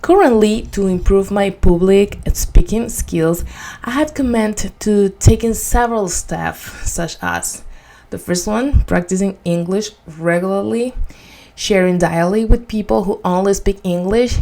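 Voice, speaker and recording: Venezuelan woman, creaky voice, earnest speaker. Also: medium pitch, mellow, poor audio. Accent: Venezuelan Spanish. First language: Spanish. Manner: earnest, deliberate non-native English. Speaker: student giving a personal speech. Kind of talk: prepared motivational presentation.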